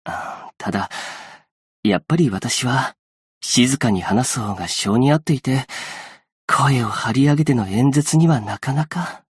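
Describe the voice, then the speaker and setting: Soft-spoken, husky young aid worker. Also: male voice, medium pitch, lively intonation, no room sound, studio quality. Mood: calm, earnest. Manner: polite, soft-spoken, measured formal speech. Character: gentle, patient, earnest young male aid worker. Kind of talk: scripted game character voice lines.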